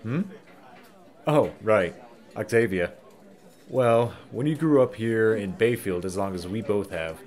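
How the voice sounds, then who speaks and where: A man playing a chatty, easygoing small-town local with a folksy, expressive manner in an audio drama. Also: fairly low pitch, measured pace, long pauses, close to an everyday voice. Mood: flustered.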